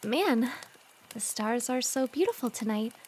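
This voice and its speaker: A woman playing a sweet, excitable teenage girl with a crush in a fan audio drama, expressive and earnest. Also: on the high side, measured pace, a little hiss or hum. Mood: soft, dreamy.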